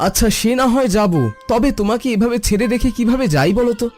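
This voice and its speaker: A man's voice: extremely high pitch, quick pace, a little mellow. A man voicing a caring, eager young village lad in a cartoon, earnest and helpful. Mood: concerned. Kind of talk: Bengali cartoon dialogue acting. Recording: background music under voice.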